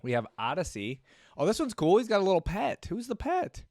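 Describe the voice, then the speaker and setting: A man giving casual gaming reaction commentary as a host, rambling and thinking out loud as he goes. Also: sits high, huge pitch swings, brisk, dry recording, studio quality.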